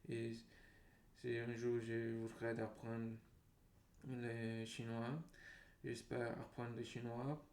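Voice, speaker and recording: A man from Brazil with a Brazilian accent and Portuguese as his native language, talking unscripted to viewers in a halting, repetitive way as a language vlogger. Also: medium pitch, completely flat delivery, darkish tone. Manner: halting, repeats words and phrases. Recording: roomy echo, faint background noise.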